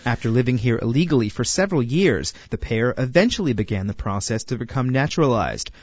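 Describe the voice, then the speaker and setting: Man narrating news, lively pitch, dry sound. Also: brisk, dry recording, lo-fi sound. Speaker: narrator, reporter. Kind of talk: scripted news feature narration.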